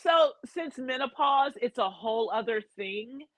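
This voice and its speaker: A woman in her fifties co-hosting a casual chat, animated and sassy, laughing often. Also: high voice, measured pace, noisy background. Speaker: co-host, actor.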